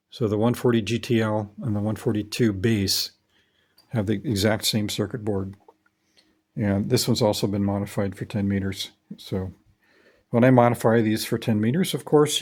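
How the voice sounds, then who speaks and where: A man's voice: lowish voice, a little mellow. A middle-aged man who hosts a ham radio hobby vlog, explaining things in a casual, matter-of-fact, conversational way. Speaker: host, amateur radio hobbyist.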